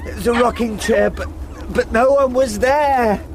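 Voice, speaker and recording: An actor playing a terrified young solicitor in a radio drama, breathless, distressed and speaking in halting, broken phrases. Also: male voice, extremely high pitch, noisy background. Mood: terrified, dazed. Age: young adult. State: distressed, breathless, near crying. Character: terrified, half-drowned young male solicitor.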